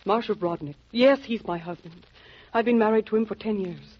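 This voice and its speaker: An actress plays a troubled, pleading wife in 1940s detective radio. Her voice is husky and medium in pitch, with earnest melodrama in every line. The recording sounds old and dull. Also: fairly quick, lightly acted. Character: anxious, pleading wife, a scheming client.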